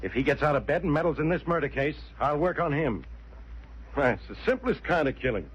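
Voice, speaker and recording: An actor playing a brash, sarcastic police inspector in 1940s radio style, blustery and insistent. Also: male voice, fairly high pitch, lively intonation, a little fast, husky. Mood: needling. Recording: dull, hissy old radio recording.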